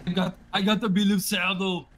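A man playing a bodybuilder in a game, his put-on Austrian accent thick and his voice high for a man. He jokes in a deadpan way and spells names out slowly over a headset mic. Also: over a noisy crowd. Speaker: roleplayer in a police roleplay server.

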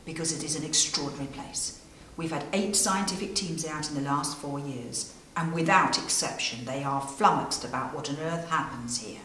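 A woman's voice: low-pitched, fairly bright tone, far from the mic, a little hiss or hum. A middle-aged woman guiding visitors around a castle hall, speaking earnestly and emphatically to the camera. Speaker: castle guide. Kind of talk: guided tour talk to camera. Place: castle great hall, indoors.

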